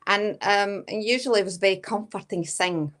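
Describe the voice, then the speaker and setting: A woman's voice: mid-range voice, moderate pace, a bit bright. A woman in her thirties from Latvia with a Latvian accent tinged with Scottish, answering interview questions hesitantly with many fillers. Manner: hesitant, many fillers, often says kinda. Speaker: guest medium.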